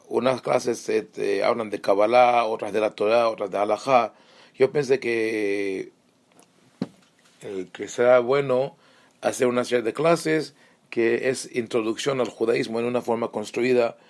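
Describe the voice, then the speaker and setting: Israeli rabbi teaching online, a bit of vocal fry, mid pitch, pauses to find words. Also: male voice. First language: Hebrew. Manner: hesitant, many fillers and restarts. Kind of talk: online lesson, storytelling.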